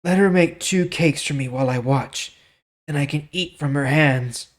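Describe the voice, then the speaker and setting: A man's voice: a little high, normal speed, lightly acted. A man playing a lovesick, callous young prince who speaks bluntly and commandingly in a scripture reading.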